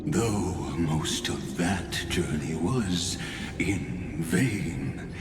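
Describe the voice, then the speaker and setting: A man's voice: sits low, lively intonation, very slow, full character voice. A man playing a grave, theatrical storyteller narrating a myth in a video game, solemn and portentous. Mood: solemn, inviting. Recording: echoey long reverb, loud music behind.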